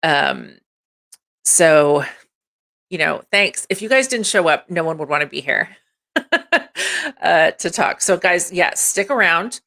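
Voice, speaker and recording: A woman streamer with a deep, husky voice, stuffy from allergies. There is a gravelly fry under her words, and her tone is bright and forceful. She chats casually, swears easily and thanks her viewers by name. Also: expressive, slow, pauses often. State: congested from allergies. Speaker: streamer host. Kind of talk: casual livestream chat.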